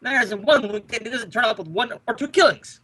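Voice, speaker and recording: A man's voice: very high-pitched, brisk, bright, forceful tone, slightly rough, full character voice. A man playing an exasperated, grumbling police lieutenant, sarcastic and blustery, in an old-time radio detective drama.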